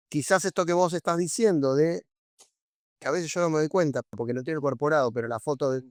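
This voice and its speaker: A man's voice: fairly high pitch, no room sound, clean studio sound. A man, a podcast guest who talks with enthusiasm and keeps restarting his sentences. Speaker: guest, brand designer. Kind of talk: casual interview chat.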